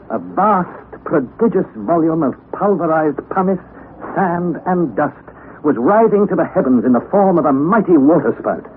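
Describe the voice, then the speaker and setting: A young man narrating his adventure in first person for a 1960s radio drama, measured and careful. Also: on the high side. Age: young adult. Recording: tinny old radio broadcast with hiss.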